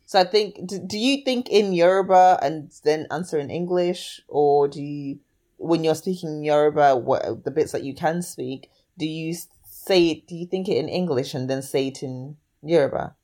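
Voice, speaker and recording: Woman with a notably low voice, earnest and emphatic in casual conversation. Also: normal speed, mellow. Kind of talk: casual chat.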